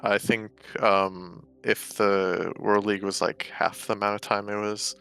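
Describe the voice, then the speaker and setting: Gravelly young American man, bright tone. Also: on the low side, flat delivery, unhurried, faint music behind. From United States. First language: Romanian. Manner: filler-heavy, loose and rambling. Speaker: guest, professional GeoGuessr player. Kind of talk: casual chat.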